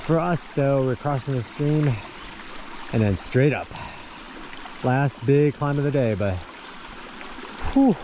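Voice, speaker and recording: A man's voice: mid-range voice, animated, slow pace, long pauses, dark tone, slight background noise. A man of fifty, a tired long-distance hiker, chatting casually on a forest trail into his camera and laughing often. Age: 50s. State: tired from hiking. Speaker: thru-hiker vlogger. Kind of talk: casual trail vlog narration.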